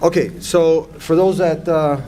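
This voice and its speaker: A man's voice: a little high, steady tone, unhurried, dark tone, slight background noise. A man hosting an interview session, asking friendly prompting questions. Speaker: interview host. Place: mosque event.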